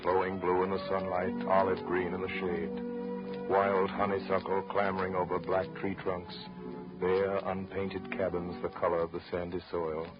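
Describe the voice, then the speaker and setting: A man's voice: sits low, completely flat delivery, moderate pace, lightly acted. A man narrating a 1930s radio drama from a script in a lyrical storytelling manner. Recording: muffled 1930s radio, music behind.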